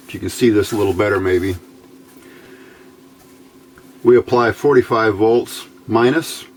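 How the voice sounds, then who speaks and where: A man giving an unscripted hands-on technical tutorial in a matter-of-fact, halting, step-by-step way. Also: on the low side, low-quality recording. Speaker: host of an electronics build tutorial. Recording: clear background noise, room ambience.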